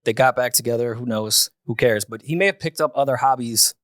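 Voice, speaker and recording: A man's voice: medium pitch, brisk, a bit bright, no room sound. A man in casual podcast banter, with a dry, wisecracking manner. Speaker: podcast host.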